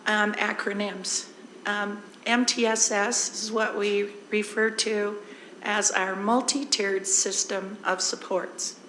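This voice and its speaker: A hoarse woman, a district administrator, giving a relaxed presentation at a school board meeting and explaining with everyday examples. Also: medium pitch, echoey room, a little hiss or hum, rough recording.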